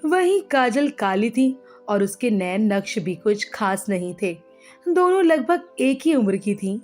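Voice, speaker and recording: A woman's voice: fairly high pitch, big pitch swings, a little slow, a little mellow, faint music behind. A woman reading scripted narration as the storyteller of a moral tale.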